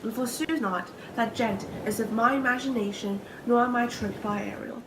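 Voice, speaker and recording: Woman playing Shakespearean young maiden, lovestruck and innocent, declamatory, highish pitch, roomy echo. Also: natural voice. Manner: earnest, declamatory, archaic diction. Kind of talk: theatrical Shakespearean soliloquy. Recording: echoey room with faint noise.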